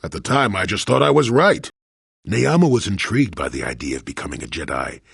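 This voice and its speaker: Wanted: gruff elderly ex-warrior in a video game, deep and raspy, wry and rueful, with big dramatic swings. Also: male voice, sing-song, a little fast, fairly bright tone, dry recording, clean studio sound, exaggerated voice. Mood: wistful, wry. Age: older. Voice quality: gravelly, husky. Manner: wry, rambling, dramatic storyteller. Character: gruff, rueful, cantankerous old male former Jedi. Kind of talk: scripted video game voice acting.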